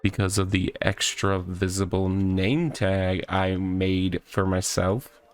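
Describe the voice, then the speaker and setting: A man's voice: deep voice, fairly even intonation, slow pace, smooth, soft background music, pitched below the performer's own voice. A man voicing an odd, rambling guy who lives in a box in a comic visual novel, talking absurd nonsense matter-of-factly. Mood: awkward joking.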